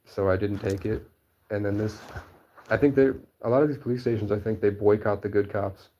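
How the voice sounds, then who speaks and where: Male interviewee, low and monotone, rambling candid talk, muffled lo-fi recording. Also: a little fast, slight background noise. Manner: meandering, full of "like" fillers and restarts.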